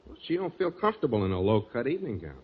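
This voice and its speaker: An actor playing a dim, shameless bachelor musician sidekick in a 1940s radio sitcom, slangy and deadpan with mock dignity. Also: male voice, medium pitch, very fast, close to an everyday voice. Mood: grumbling. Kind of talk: 1940s radio sitcom acting before an audience. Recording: tinny, noisy 1940s radio recording.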